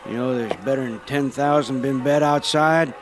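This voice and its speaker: An actor playing a rough-hewn but soft-hearted cowboy in a radio western, with folksy, halting speech. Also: male voice, medium pitch, fairly even intonation, soft background music, lightly acted. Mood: subdued, awkward. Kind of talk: old-time radio western acting.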